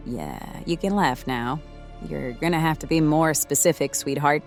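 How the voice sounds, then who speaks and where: An actress playing a sardonic, foul-mouthed, weary diner owner in her late forties in a horror audio drama, with halting, sarcastic delivery. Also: low-pitched, faint music behind. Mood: bitter sarcasm.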